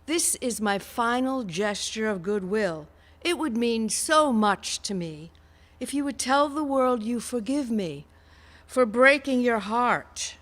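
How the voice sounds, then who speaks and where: A woman playing a radio show assistant who reads donor messages in a deliberate, deadpan way, in a reverberant hall. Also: fairly high pitch. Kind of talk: radio theater reading. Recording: echoey hall with long reverb.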